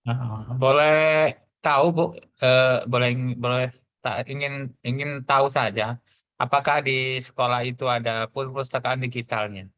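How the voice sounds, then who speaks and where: A man's voice: mid-range voice, fairly even intonation, measured pace, a bit bright, highs cut off. A man with a strong Javanese accent, speaking as a coach in a chatty, rambling conversation.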